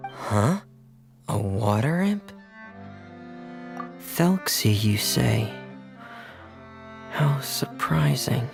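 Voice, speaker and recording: A man playing a shy, reserved, gentle teenage boy in a video game cutscene, hesitant, polite and apologetic. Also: medium pitch, expressive, drawn-out words, gravelly, pauses often, music in the background, full character voice.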